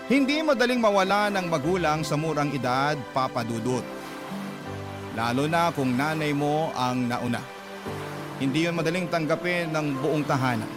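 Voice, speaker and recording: Guy reading a sad letter story aloud, slightly high voice, loud music under it. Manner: slow, emotional storytelling. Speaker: narrator reading a listener's letter. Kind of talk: scripted dramatic narration.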